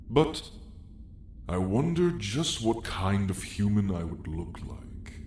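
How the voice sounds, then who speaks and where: A man voicing an ancient, weary male dragon, gentle and wistful, speaking solemnly in an ASMR roleplay story. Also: sits low, voice pushed down. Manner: solemn, deliberate, old-fashioned phrasing. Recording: echoey space with faint hiss.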